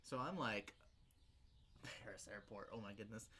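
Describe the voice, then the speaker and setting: A man's voice: fairly low pitch, normal speed, sharp tone, a little hiss or hum. A young man co-hosting a casual podcast, telling stories and laughing often. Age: young adult. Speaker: podcast co-host. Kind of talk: casual chat.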